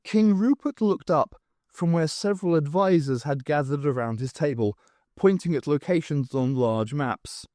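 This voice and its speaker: A man reading scripted fiction aloud in an expressive, dramatic storytelling manner. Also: on the high side, normal speed, dry recording, clean studio sound. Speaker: audiobook narrator. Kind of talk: scripted fiction narration with character voices.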